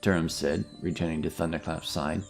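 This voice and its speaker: A man's voice: a bass voice, steady tone, a little fast, gravelly. A man narrating a scripted fantasy story as a storyteller. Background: music.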